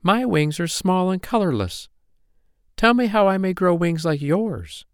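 A man plays a little fairy girl from a children's storybook, pitched far up and swooping with feeling. She is impulsive and tender, exclaiming with earnest wonder. The sound is clean and close, with very little room. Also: animated, measured pace, clear, resonant tone, no room sound. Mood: eager. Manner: exclaiming, wide-eyed and earnest.

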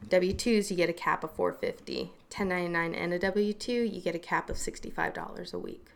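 A woman who works as a hairstylist and makeup artist, chatting casually on a podcast and telling her story in an animated way with many fillers. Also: lowish voice, fairly quick, vocal fry.

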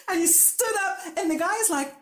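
A woman's voice: extremely high pitch, animated, slow, fairly bright tone. A woman from Quebec, a native French speaker with a Quebec French accent, hosting a podcast in a chatty, laughing, storytelling way. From Quebec, Canada. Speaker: podcast host. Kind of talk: casual interview chat.

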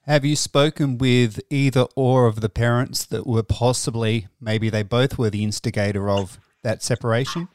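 Male music podcast presenter. Middle-register voice, earnest and measured, dry studio sound. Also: medium pitch, steady tone, darkish tone, clear, resonant tone, dry recording. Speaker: podcast host. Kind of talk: scripted music-news presenting.

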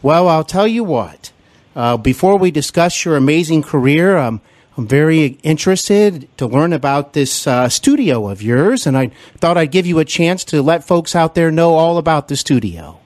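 Man podcast host, formal welcoming tone, slightly high voice, dry close sound. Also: very animated, moderate pace, a little mellow, no room sound. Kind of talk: podcast interview.

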